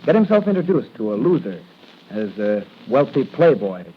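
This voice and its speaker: A man's voice: medium pitch, very animated, moderate pace, close to an everyday voice. An actor playing a confident young policeman hero in 1940s radio style, on a muffled, hissy old recording. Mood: confident, determined. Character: confident crime-fighting young policeman hero with secret masked identity.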